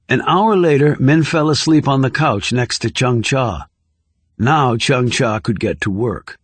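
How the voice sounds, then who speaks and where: A man reading scripted thriller narration in a measured, composed way. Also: mid-range voice, no echo. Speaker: audiobook narrator.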